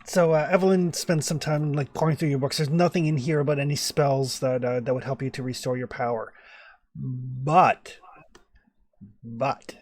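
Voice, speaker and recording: A man's voice: mid-range voice, fairly even intonation, moderate pace, no echo. A man acting as game master for a tabletop horror campaign, talking through rules and story in a loose, chatty way full of fillers and restarts over a home microphone.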